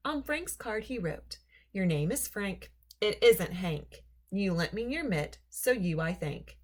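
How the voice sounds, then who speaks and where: Slow, animated woman reading a storybook. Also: medium pitch. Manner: deliberate, emphatic storytelling for young children. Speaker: storybook reader. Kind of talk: expressive children's read-aloud narration.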